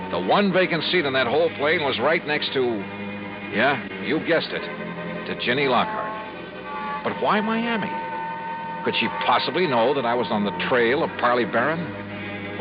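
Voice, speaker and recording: Actor playing a hard-boiled investigator, wry clipped narration, 1950s radio drama, lo-fi recording, music behind. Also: male voice, mid-range voice, natural voice. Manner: wry, clipped, fast-talking, hesitant when flirting. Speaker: narrator and lead.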